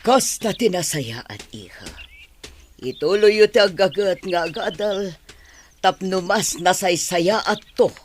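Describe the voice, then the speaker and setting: A woman's voice: lowish voice, animated, unhurried, a little hiss or hum. A woman playing a worried, earnest middle-aged Ilocano village mother in a radio drama, disapproving and concerned. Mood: concerned, kindly. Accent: Filipino, Ilocano.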